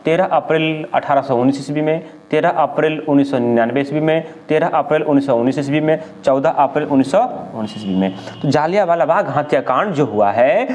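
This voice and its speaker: A man with a Bihari accent teaching an exam lesson, reading out questions and answers didactically and repeating himself with quick check questions. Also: fairly high pitch, darkish tone. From India. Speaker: history teacher.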